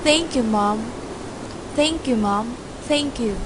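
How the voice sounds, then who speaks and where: An actress playing a grieving young daughter who talks in an earnest, tearful way in a student radio drama. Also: female voice, on the high side, slow, background noise. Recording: loud background music.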